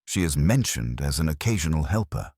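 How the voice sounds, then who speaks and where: A man playing a mild, shrewd police inspector in an audio drama, quiet and dryly probing. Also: a bass voice, big pitch swings, fairly quick, breathy edge, dry recording, studio quality, heavily acted.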